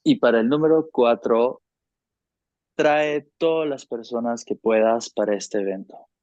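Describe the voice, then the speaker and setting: Male consecutive interpreter, medium pitch, muffled lo-fi call sound. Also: measured pace, a little mellow, no room sound. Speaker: interpreter between English and Spanish. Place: online group video call. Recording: dry, dull lo-fi call audio.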